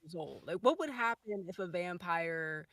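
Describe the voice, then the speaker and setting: A woman's voice: lowish voice, lively intonation, moderate pace, fairly bright tone, dry recording, clean studio sound. A woman chatting casually and off the cuff, thinking aloud with emphatic repeats. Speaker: podcast cast or crew member. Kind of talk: casual, off-the-cuff group chat.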